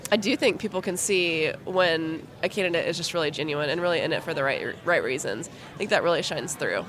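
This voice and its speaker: A woman's voice: deep voice, brisk, crowd noise. A woman hosting an interview, asking questions and affirming her guest in a friendly, thoughtful way.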